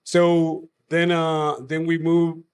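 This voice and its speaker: A man's voice: fairly high pitch, monotone, clear, resonant tone. A man from Argentina with an Argentine Spanish accent, a brewery co-founder talking casually in an interview, with frequent fillers and restarts. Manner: halting, many fillers and restarts.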